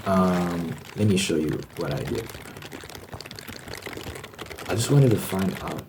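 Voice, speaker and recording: A man giving rambling ASMR commentary in a conversational, explanatory manner, often pausing and restarting. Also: sits low, animated, background noise. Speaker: solo host of a rambling channel.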